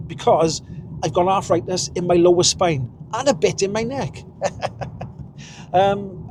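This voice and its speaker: Welsh man in his 50s, higher voice, rambling car-vlog chat, faint road hum behind. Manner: chatty, rambling, chuckles at himself. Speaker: vlogger. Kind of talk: casual driving vlog. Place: inside a car while driving.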